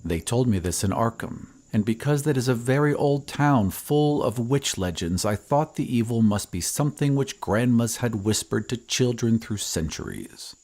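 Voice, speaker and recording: A man playing a somber surveyor who recounts an eerie old tale in measured, ominous audio drama narration with hushed phrase endings. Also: medium pitch, lively intonation. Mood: uneasy, hushed.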